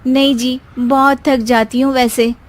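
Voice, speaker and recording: A woman voicing a tired young housewife in a cartoon who answers her husband with sharp complaints. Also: high voice, level tone, measured pace. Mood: sly.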